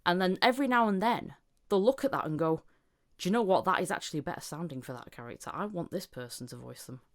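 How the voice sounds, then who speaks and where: A woman's voice: fairly low pitch, animated, quick pace. A woman hosting a casual, chatty interview, often telling things through bits of reported dialogue. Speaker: podcast host interviewing a voice actor. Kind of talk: casual chat.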